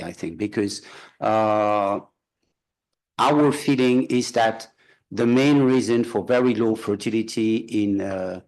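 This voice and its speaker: A man from France, giving unscripted remarks as a symposium panelist, pausing often with "uh" and restarting his sentences. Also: medium pitch, a little mellow. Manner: halting, with frequent "uh" pauses and restarts.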